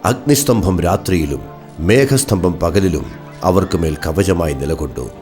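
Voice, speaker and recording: A man's voice: low-pitched, animated, measured pace, darkish tone, faint music behind. A man narrating a dramatic scripted Bible story as its narrator.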